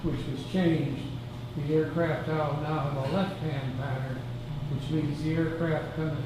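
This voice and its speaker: A man gives a short, matter-of-fact technical comment from the audience in a fairly high voice. He sounds far off in a very echoey room, with steady noise behind him. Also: a little slow. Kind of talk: explanatory comment. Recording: distant echoey room recording.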